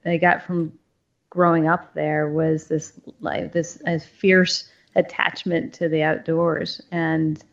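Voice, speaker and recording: Low, flat-voiced woman, thoughtful and halting. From northern Maine, USA. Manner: thoughtful, halting, many fillers. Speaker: CEO, podcast guest. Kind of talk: reflective interview answers. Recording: dull lo-fi sound, faint music.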